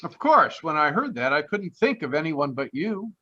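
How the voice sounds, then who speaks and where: Bright-voiced easygoing young radio sidekick, man acting. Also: on the high side, very animated, normal speed, heavily acted. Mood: earnest, easygoing. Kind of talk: old-time radio drama acting. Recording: slightly dull video-call audio.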